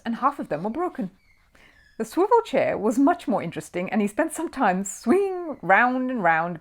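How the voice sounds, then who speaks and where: A woman narrates a children's storybook as an audiobook reader. Her medium-pitched voice moves with plenty of melody, and she tells the tale expressively, shaping each scripted sentence for young listeners.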